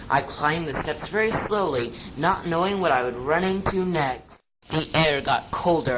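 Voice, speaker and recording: A storyteller narrating a scripted, spooky first-person tale in a measured storybook manner. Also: mid-range voice, heavy background noise. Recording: loud music under the voice. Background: loud music.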